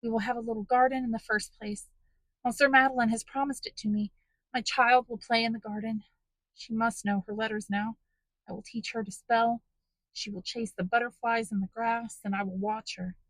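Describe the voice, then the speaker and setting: A woman voicing a dying young mother, frail and yearning, her voice lifted high and thin. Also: normal speed, husky, no echo, poor audio. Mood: longing.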